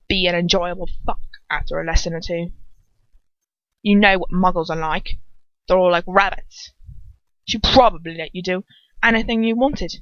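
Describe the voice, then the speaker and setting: A woman's voice: fairly low pitch, a bit bright, dry recording. Woman putting on a jeering Cockney male villain, dull recording. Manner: mocking, coarse.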